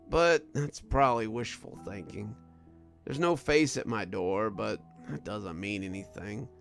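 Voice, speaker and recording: A man voicing a wounded, delirious prison guard sergeant in a horror story, speaking in a weary, halting, frightened way. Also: mid-range voice, creaky. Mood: weak, fearful. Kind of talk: first-person horror story narration.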